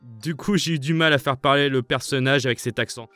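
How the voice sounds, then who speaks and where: Man, sheepish, plain, mid-pitched. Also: a little fast, pushed and bright, soft background music. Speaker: fandub voice actor. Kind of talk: casual recorded answer.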